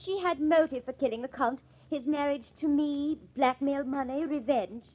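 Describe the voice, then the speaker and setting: A woman playing a sharp-tempered, defensive actress suspected of murder in a 1950s radio mystery, dramatic and emphatic. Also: sits high, normal speed, close to an everyday voice. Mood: defiant. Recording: muffled lo-fi old radio recording.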